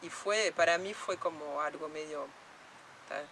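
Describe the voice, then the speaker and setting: A woman's voice: deep voice, unhurried, a bit bright, a little hiss or hum, low-quality recording. A woman from France in an interview, speaking reflectively with many fillers and restarts. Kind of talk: documentary interview.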